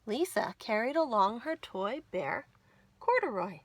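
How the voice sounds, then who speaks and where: A woman hosting a children's storytime, reading picture books aloud in an expressive, sing-song way. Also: fairly high pitch, unhurried, dry recording. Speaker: children's library storytime host. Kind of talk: animated picture-book read-aloud for young children.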